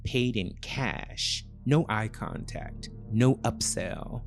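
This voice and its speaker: Male narrator, psychological thriller audiobook; very slow, deliberate short phrases, mid-range pitch, music bed. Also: gravelly. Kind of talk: scripted first-person thriller narration.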